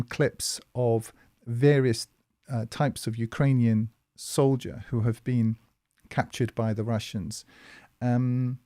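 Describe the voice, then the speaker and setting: Hesitant, measured man with a mellow voice. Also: mid-range voice, no room sound, clean studio sound. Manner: measured, hesitant, many fillers and restarts. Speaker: commentary channel host. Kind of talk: spoken commentary monologue.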